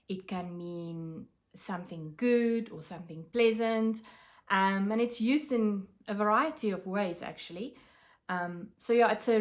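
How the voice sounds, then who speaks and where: A South African woman whose first language is Afrikaans, chatting casually to camera about her craft projects, with many pauses and fillers. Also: medium pitch. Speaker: craft vlogger.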